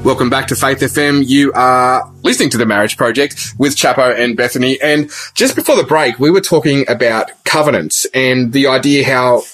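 A man co-hosting a conversational radio discussion, explaining things in measured phrases. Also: mid-range voice, a bit bright, soft background music. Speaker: radio co-host.

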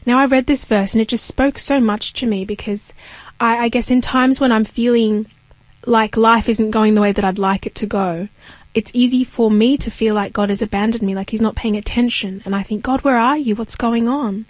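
A woman's voice: a little high, steady tone, moderate pace, no room sound. A young woman from Kenya sharing her faith story as an interview guest, earnest and reflective.

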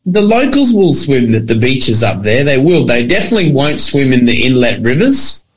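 A man's voice: medium pitch, big pitch swings, normal speed. A man with an Australian accent chatting casually as a radio guest, laid-back and colloquial. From Queensland, Australia. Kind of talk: casual chat. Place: radio studio.

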